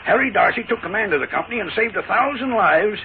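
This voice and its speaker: An actor playing a stern, protective older riverboat captain, commanding, in a 1940s radio western. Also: male voice, sits high, expressive, fast. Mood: stern, concerned. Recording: tinny, noisy 1940s radio recording.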